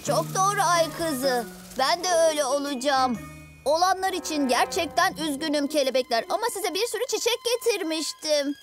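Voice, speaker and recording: A little boy superhero in a cat costume for a children's cartoon. The voice is very high, eager and showy, full of earnest confidence. Clear music runs behind it. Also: expressive, normal speed. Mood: earnest, gentle. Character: plucky, confident six-year-old superhero cat boy. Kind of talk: animated children's cartoon dub.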